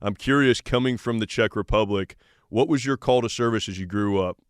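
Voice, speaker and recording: An American man speaks as a podcast host in a low register. His voice is clean and resonant, recorded close and dry. He talks informally and encouragingly, as in a relaxed interview. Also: brisk, clear, resonant tone, no room sound. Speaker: podcast host, army sergeant major.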